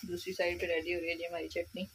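A woman with a Punjabi accent talks her way through a home cooking vlog, friendly and chatty. Her voice sits a little low. The phone recording catches clear kitchen noise around her: sizzling, stirring and running water. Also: completely flat delivery, fast. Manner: chatty, narrates while cooking. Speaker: vlogger. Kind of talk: casual cooking commentary.

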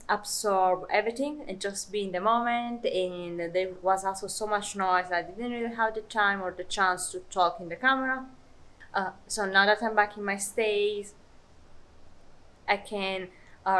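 A woman's voice: medium pitch, a bit bright, low-quality recording. Chatty young woman travel vlogger. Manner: chatty with many fillers and restarts. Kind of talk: casual vlog talk to camera.

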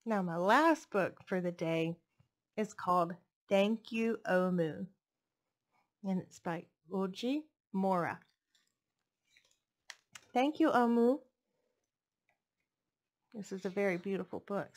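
A young woman hosting a storytime, reading picture books expressively with deliberate phrasing for young listeners. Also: mid-range voice. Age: young adult. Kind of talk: expressive children's story read-aloud. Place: indoors, furnished home room. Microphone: headset microphone.

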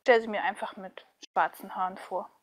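A woman's voice: medium pitch, steady tone, moderate pace. A woman who works as a voice actress, chatting casually and thoughtfully as an interview guest, with a giggly streak.

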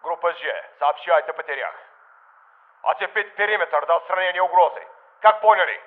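An actor playing a commanding Russian military controller over a radio filter in a video game, with a Russian accent. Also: male voice, high-pitched, fairly quick. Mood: official, commanding. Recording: narrow, tinny military radio.

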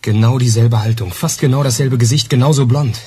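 An actor playing an eager, loyal police assistant in a crime radio play, arguing colloquially. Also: male voice, mid-range voice, fairly quick, mellow, breathy edge, no echo. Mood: keen, agitated. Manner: colloquial, argumentative.

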